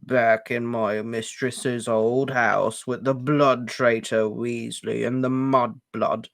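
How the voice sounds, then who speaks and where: A man voicing a wretched old male house-elf, servile and bitter, who croaks out his words slowly and haltingly. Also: mid-range voice, level tone, a bit bright, dry recording, studio quality. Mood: muttering. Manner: slow, halting, gulping for air. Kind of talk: audiobook character voice.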